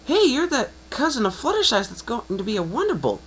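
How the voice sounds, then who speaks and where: A man's voice: extremely high pitch, wildly swooping pitch, a little fast, a bit bright, a little hiss or hum, voice pushed up, full character voice, poor audio. A man voicing a brash, eager young female pegasus pony racer in a fan story reading, bold and challenging. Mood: brash, excited.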